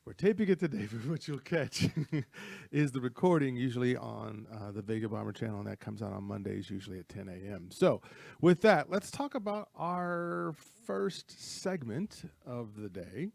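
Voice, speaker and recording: Gravelly man hosting a casual studio show. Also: medium pitch, animated, moderate pace, a little mellow, no echo. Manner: rambling, self-correcting, chuckles often. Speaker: host. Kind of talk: casual podcast chat.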